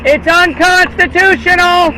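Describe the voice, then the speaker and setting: A man's voice: very high-pitched, steady tone, unhurried, bright, forceful tone. An American man protesting on the street, declaiming repeated slogans and chatting with passersby outdoors. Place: outdoors by a busy road. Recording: noisy outdoor street, traffic behind.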